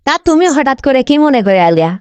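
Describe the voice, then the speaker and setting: A woman's voice: sits high, very animated, fast talker, a little mellow, clean tone, dry recording. A woman voicing a newly married young aunt in a cartoon, talking in a North Bengal Bangla accent. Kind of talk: cartoon dialect comedy acting.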